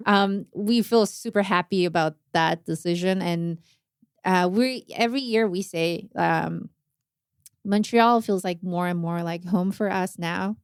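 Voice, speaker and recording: Soft-spoken Korean woman, smooth voice. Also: on the low side, measured pace, no echo, studio quality. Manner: soft-spoken, many "like" fillers, non-native phrasing. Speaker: jazz drummer, interview guest. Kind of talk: casual interview chat.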